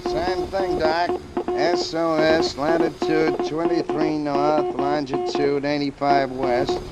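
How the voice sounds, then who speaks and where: An actor playing a puzzled ship's radio operator in a 1940s radio drama, reporting in clipped phrases. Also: male voice, on the high side, animated, slow pace, poor audio. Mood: puzzled, urgent. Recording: old radio broadcast with loud music.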